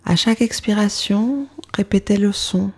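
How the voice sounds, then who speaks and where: A woman leading a guided meditation as a yoga instructor, speaking in a calm, soothing way with soft phrase endings. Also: mid-range voice, normal speed, breathy edge.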